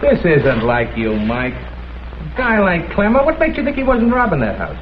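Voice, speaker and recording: An actor playing a skeptical police lieutenant in a vintage crime drama, talking in a dry, blunt way. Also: male voice, a little high, wildly swooping pitch, moderate pace. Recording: tinny old soundtrack with background hiss.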